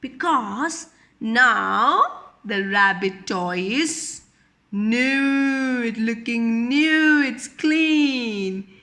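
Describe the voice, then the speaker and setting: High-voiced woman reading to young children. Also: lively intonation, very slow, bright, forceful tone. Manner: teacherly, repeats phrases and questions. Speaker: children's storyteller. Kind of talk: read-aloud story with simple questions to young children.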